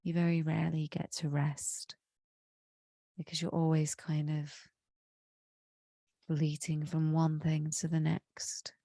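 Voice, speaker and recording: A woman leading a guided meditation in a slow, soothing way, her voice slightly croaky from a cold. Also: sits low, completely flat delivery, mellow, dry recording, clean studio sound. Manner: slow, soothing, drawn-out phrasing. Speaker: meditation leader.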